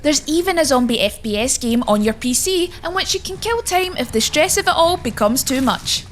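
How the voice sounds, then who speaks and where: A woman presents a scripted countdown in a high-pitched voice. She reads as a narrator guiding listeners through each entry. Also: lively intonation, normal speed, slight background noise. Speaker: narrator and presenter of a video game list.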